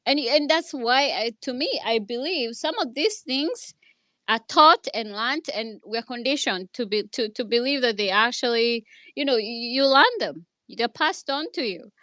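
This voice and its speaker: A woman's voice: fairly high pitch, expressive, unhurried, fairly bright tone, no room sound. A woman with a Kenyan accent telling a personal story in an online discussion, earnest and hesitant, with many restarts. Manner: earnest, speaks from her own experience. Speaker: speaker in an online audio discussion.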